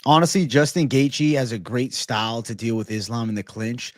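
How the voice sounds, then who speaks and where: A man's voice: medium pitch, normal speed, no room sound, clean studio sound. A man hosting a casual livestream, chatting and answering viewers in slangy, profane, bro-heavy banter. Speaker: livestream host.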